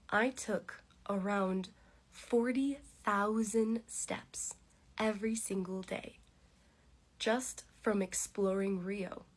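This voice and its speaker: A woman hosting a slow English podcast, speaking deliberately with pauses, congested and with a cough. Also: medium pitch. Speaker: podcast host teaching English. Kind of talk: slow, clear English listening lesson.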